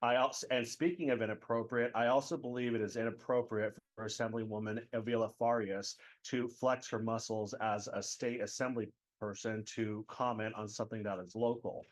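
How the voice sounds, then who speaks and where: A man's voice: lowish voice, fairly even intonation. Blunt middle-aged man calling in. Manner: blunt and critical, sometimes halting. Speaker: public commenter. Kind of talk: public comment at a council meeting. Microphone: phone call.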